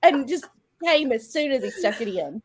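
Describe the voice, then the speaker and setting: A woman's voice: high voice, expressive, moderate pace, darkish tone, husky. A woman giving a dramatic reading of a story, mixed with casual chat among friends. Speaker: guest reader on a live show.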